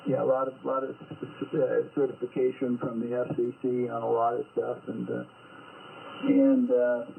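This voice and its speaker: A man's voice: mid-range voice, gravelly. An older man telling about his work as an antenna inventor in casual radio chat, often searching for words with many fillers. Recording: tinny radio transmission with hiss.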